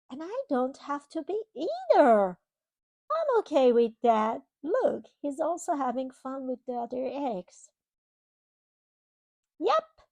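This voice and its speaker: A woman reading a children's picture book aloud, expressively, pausing to explain things to young listeners. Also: high-pitched, gravelly, darkish tone, no room sound. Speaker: children's storybook reader. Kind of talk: read-aloud storytelling to young children with simple asides.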